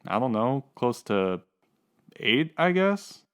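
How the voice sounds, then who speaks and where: A man's voice: medium pitch, big pitch swings, takes it slowly, fairly bright tone, clear, resonant tone, heavily acted. A man playing a dejected, lovesick suspect under questioning in a radio drama, plainspoken and unsure.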